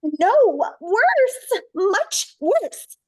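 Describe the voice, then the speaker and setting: A woman's voice: very high voice, big pitch swings, extremely slow, a little mellow, full character voice. A woman playing an anxious, complaining young beauty queen in a screenplay table read, testy and whiny. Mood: pained, upset.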